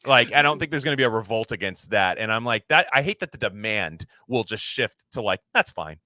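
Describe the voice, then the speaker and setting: A man co-hosting a podcast, chatting casually about films in an emphatic, halting, sometimes profane way full of filler words. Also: lowish voice, animated, sharp tone, dry recording. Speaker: podcast co-host. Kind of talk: casual film discussion.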